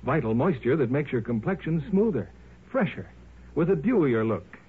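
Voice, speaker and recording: A man working as a 1950s radio announcer, delivering scripted advertising with a polished, emphatic sales pitch. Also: sing-song, normal speed, slightly rough. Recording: muffled 1950s radio recording.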